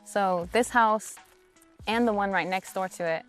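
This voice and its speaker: A woman, a singer, speaking reflectively in an interview outside a family house, sometimes in quick bursts. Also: mid-range voice, soft background music. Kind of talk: casual interview answers.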